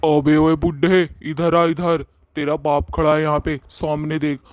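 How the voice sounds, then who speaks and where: A man's voice: fairly high pitch, little pitch movement, a little slow, dry recording, natural voice. A man voicing a bossy, boastful male ghost in a Hindi cartoon story, with a swaggering, colloquial way of talking. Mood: bullying. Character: bossy, boastful male ghost who bullies villagers and flatters his sweetheart.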